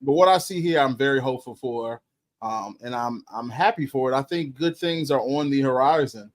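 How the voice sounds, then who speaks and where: A man's voice: mid-range voice, normal speed. A man co-hosting a podcast, talking sports casually, weighing his words with frequent ums and hedges. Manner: thoughtful, hedging, with frequent ums. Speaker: podcast co-host.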